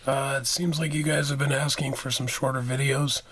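Man, hoarse, under the weather, mid-pitched, informal product reviewer, halting plain delivery. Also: flat delivery, fairly bright tone, dry recording. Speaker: product reviewer and channel host. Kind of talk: casual hands-on product review. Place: indoors, carpeted room.

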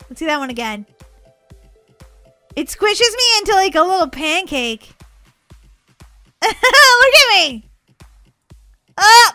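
A woman's voice: sits high, sharp tone. A woman hosting a gaming video with exclamatory, giggly, kid-friendly commentary. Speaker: gaming channel host. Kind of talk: playful gameplay commentary. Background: background music.

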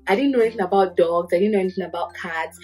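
A woman's voice: lowish voice, racing, husky, faint music behind, low-quality recording. A young woman from Nigeria with a Nigerian accent, giving casual advice to the camera and acting out little stories as she goes. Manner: lively storytelling, acts out quotes. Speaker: podcast host, lawyer. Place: bedroom with curtains, indoors.

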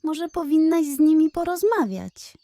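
A woman's voice: sits high, big pitch swings, a little slow, warm tone, no room sound, pitched above the performer's own voice, full character voice. A woman voicing an older female seal in a children's story, lazy, amused and doubtful. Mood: doubtful advising.

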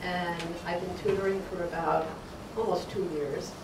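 A woman's voice: fairly low pitch, steady tone, moderate pace, crowd noise. An older woman, a volunteer tutor, speaking in an easygoing, casual way. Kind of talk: casual remarks. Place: community room, at a podium. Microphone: podium mic, far camera. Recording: echoey room from far off, noise.

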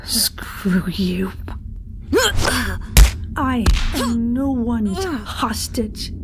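Feisty, blunt female fighter, woman actor, audio drama, slightly high voice with noisy background. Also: very slow. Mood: defiant. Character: defiant, flustered woman fighting back. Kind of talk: audio horror drama acting.

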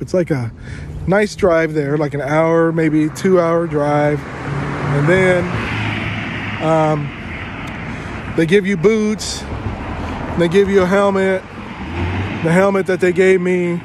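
A young man telling a travel story to his phone camera outdoors, animated and playing it up for effect, with plenty of fillers. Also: on the high side, background noise. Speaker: travel vlogger. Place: outdoors among trees.

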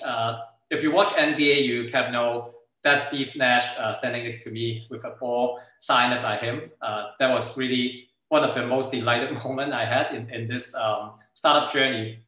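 A man with a Hong Kong accent, native in Cantonese, presents informally as a startup founder. His voice is bright and sharp at an ordinary pitch. It comes over a video call from a strongly echoing room. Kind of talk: informal slide presentation with product demo.

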